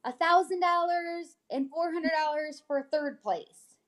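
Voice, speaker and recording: A woman's voice: very high-pitched, a little slow. An American woman reading a newsletter aloud on a casual livestream, chatting informally and often tagging on little check-ins. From United States. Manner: informal, frequent check-in tags like "okay?". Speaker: host, real estate agent reading a newsletter.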